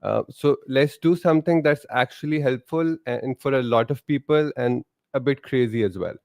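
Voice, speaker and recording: A man's voice: mid-range voice, normal speed, vocal fry, a little mellow, no echo, clean studio sound. A man from Pakistan, co-hosting a live stream with teasing, self-mocking humor. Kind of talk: casual live-stream chat.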